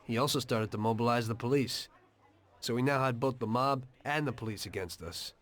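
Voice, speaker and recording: A man's voice: medium pitch, fairly quick, fairly bright tone, natural voice. A man playing a weary, troubled gangster in a crime video game. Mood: weary, reflective. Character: weary, troubled male gangster, former taxi driver. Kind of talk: confessional narration and dramatic game dialogue.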